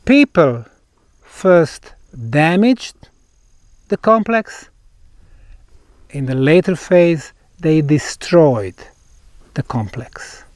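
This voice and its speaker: A man with a Bosnian accent explains a site on location as a researcher, in deliberate, emphatic short phrases with pauses. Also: a little high, animated, darkish tone, no room sound. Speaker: researcher presenting a field investigation.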